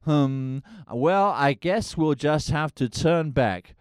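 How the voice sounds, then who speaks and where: A man's voice: on the high side, lively intonation, takes it slowly, clean tone, no room sound, clean studio sound. A man voicing an earnest, square young fiancé who hums and frets. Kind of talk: parody of a musical film.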